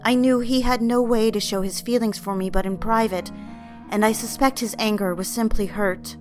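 An actress playing a timid, lovestruck secretary in a period audio drama, speaking softly and hesitantly, as if confiding. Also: female voice, a little high, steady tone, normal speed, faint music behind, close to an everyday voice. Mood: yearning, confessional. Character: timid, lovestruck female 1960s secretary yearning for attention. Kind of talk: period audio drama acting, confessional first-person narration.

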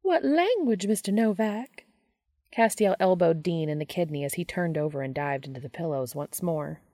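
A woman's voice: sits low, steady tone, fairly quick, dark tone, no echo, clean studio sound. A woman reading fiction aloud as scripted audiobook narration. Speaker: audiobook reader of fan fiction.

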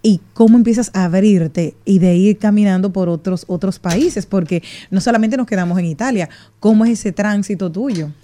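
A woman's voice: medium pitch, normal speed, hardly any pauses, mellow. A woman hosting a casual chat, who listens attentively and often murmurs agreement while asking questions. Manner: attentive, frequent backchannel affirmations. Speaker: host.

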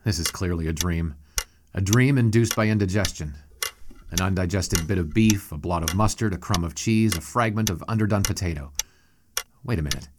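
A man acts a dry, skeptical newspaper sportswriter of the 1920s in an audio drama. His voice sits fairly low and his delivery is deadpan and incredulous, with clear noise in the recording. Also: moderate pace, natural voice. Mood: dry disbelief.

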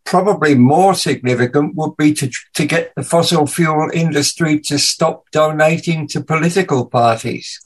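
A man with an English accent mixed with Danish hosts a relaxed conversation. His voice sits fairly high, and he rambles a little as he works through his thoughts. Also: normal speed, hardly any pauses, low-quality recording. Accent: English with Danish mix. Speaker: podcast host. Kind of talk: casual chat.

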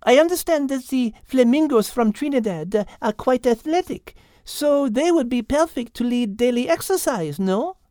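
A woman's voice: sits high, expressive, moderate pace, hardly any pauses, mellow, dry recording, clean studio sound. A woman voicing a dainty, clever female cat with a French accent, precise and polite, in a children's story. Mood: earnest, organizing. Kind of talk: character acting for a children's story podcast.

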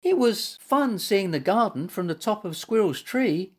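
A woman voicing a small, friendly young male animal with a squeaky, eager voice in a children's story. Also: lively intonation, unhurried, husky. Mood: chirpy.

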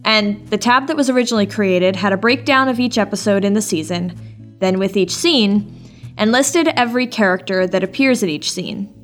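A woman's voice: medium pitch, moderate pace, faint music behind. A woman co-hosting a podcast in a conversational way, candid and self-deprecating with playful drama. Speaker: podcast co-host and production organizer.

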